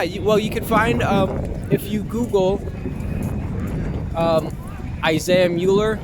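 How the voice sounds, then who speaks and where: Slightly high-voiced young American man, noisy street. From Long Island, New York, USA. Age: young adult. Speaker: interview guest, actor and artist. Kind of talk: casual street interview answers. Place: outdoors in a city, with traffic. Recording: noisy outdoor urban recording.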